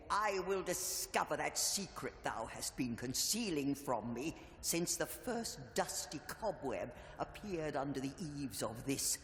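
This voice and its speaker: An actor playing a bitter, suspicious manor-dweller in a video game, with grandiose, archaic, menacing diction. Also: male voice, on the high side, gravelly, exaggerated voice. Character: bitter, theatrical, suspicious sibling in a gloomy manor. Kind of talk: scripted dramatic game dialogue. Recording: echoing hall, long reverb, faint hiss.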